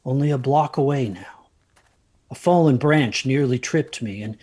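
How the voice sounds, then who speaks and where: A man's voice: medium pitch, darkish tone, husky. A man acting an anxious, haunted narrator of a dramatic first-person ghost story, hesitant and brooding in his delivery. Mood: hushed, eerie. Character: anxious haunted man recalling a stormy night.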